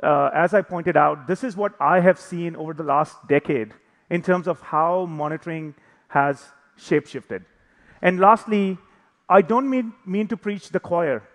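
A man's voice: a little high, a little slow. A man in his thirties giving a conference talk on stage, conversational and self-deprecating with light jokes. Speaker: conference speaker. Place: large conference hall on stage. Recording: reverberant hall.

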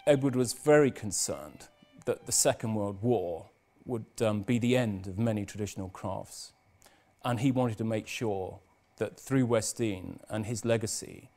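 A man's voice: on the low side, measured pace, darkish tone, soft background music. A man speaking in an interview for the college, earnest and considered.

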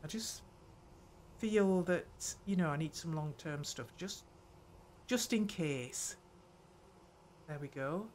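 An older woman talks her way through a video game, thinking aloud as she goes. She speaks slowly and unhurriedly, pausing to muse over each small task. A steady hiss of background noise sits under her home recording. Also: low voice. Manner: unhurried, muttering thoughts aloud while playing. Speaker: gaming channel host. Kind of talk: let's play commentary.

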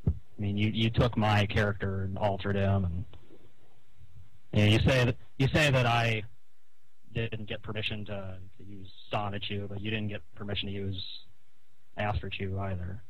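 A man with a fairly low voice speaks on a phone call. He lays out his grievances haltingly, explaining as he goes. The sound is dry, with no room echo. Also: level tone. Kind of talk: phone conversation, airing grievances. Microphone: telephone call.

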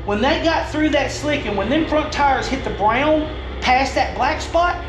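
A man's voice: very high voice, lively intonation, a little slow. A middle-aged man patiently walking a driver through race footage, explaining in a stop-start way at a desk indoors. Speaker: racing coach. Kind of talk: reviewing race footage, coaching. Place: indoor room at a desk with a laptop. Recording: roomy echo with music playing underneath.

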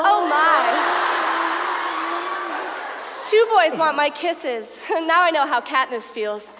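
A woman as a high-voiced, self-assured teenage witch. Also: slow, over a noisy crowd, very noisy. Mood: flattered. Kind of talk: comic musical stage reading. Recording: reverberant theatre hall, music behind.

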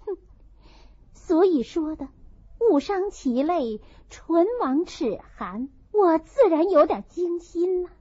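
A woman voicing a sharp-tongued, indignant young noblewoman in an audiobook, with forceful, pointed delivery. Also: very high-pitched, animated, takes it slowly, full character voice. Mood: sarcastic, worried. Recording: dull lo-fi dry recording.

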